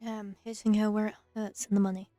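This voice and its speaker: A woman's voice: mid-range voice, level tone, fast talker, warm tone, no echo, faint music behind. A woman giving casual, wry commentary as she plays through a video game. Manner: wry, dry remarks. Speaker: gaming streamer. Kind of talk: casual let's play commentary.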